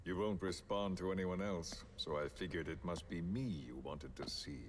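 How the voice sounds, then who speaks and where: An actor playing a menacing, philosophical villain in a video game, speaking deliberately and with theatrical condescension. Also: male voice, deep voice, slight background noise, natural voice. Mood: taunting. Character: menacing, philosophical male villain coercing a captive. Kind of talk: dramatic video game voice acting.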